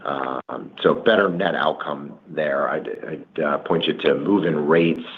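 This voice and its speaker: A man speaking as a company executive, answering analysts on an earnings call with measured, hesitant corporate phrasing on conference audio. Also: extremely low pitch. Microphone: conference call line. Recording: dull conference audio, faint noise.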